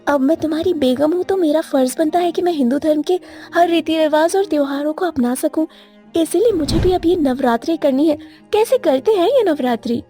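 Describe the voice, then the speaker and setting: Young bride role, earnest and eager to please, played by a woman with a high, mellow voice over faint music. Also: brisk, natural voice. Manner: pleading, eager. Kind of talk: animated moral story dubbing. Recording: music bed behind voice.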